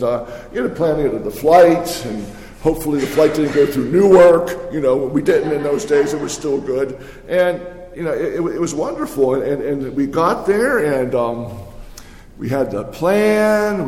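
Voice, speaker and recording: An older man speaking as a pastor, giving a folksy, conversational sermon with halting, repeated words in a large reverberant hall. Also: fairly high pitch, a little mellow. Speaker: pastor preaching. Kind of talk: sermon with folksy personal storytelling. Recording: echoey hall with long reverb.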